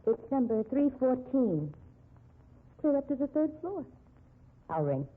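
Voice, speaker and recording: A husky 1950s radio drama heroine. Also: female voice, sits high, big pitch swings, measured pace, exaggerated voice. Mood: teasing, coaxing. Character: women in a detective story: cajoling girlfriend, bitter rescued patient. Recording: muffled, narrow-band 1950s radio recording.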